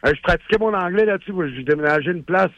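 A man's voice: high voice, animated, fast, a bit bright, dry recording. A man with a Quebec French accent calling in to a radio show, rambling and repeating himself as he chats casually. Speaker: caller. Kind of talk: casual call-in chat. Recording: muffled phone-line call.

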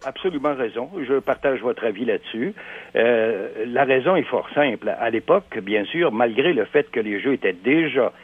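Man, radio phone-in commentator, emphatic and halting, tinny dry line. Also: medium pitch, lively intonation, husky, dry recording. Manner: emphatic, repeats words while searching, many hesitations.

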